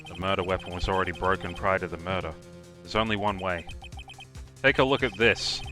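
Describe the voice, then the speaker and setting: A man's voice: low voice, fairly even intonation, brisk, sharp tone, soft background music. A man voicing an earnest young defense attorney in a live fan dub, laying out his case step by step. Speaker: fan voice actor.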